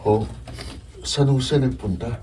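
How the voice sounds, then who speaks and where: A man's voice: mid-range voice, a little slow, mellow, lightly acted. An actor playing a devoted, wounded young man pleading earnestly in a Filipino radio drama. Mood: bewildered. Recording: distant mic with background noise.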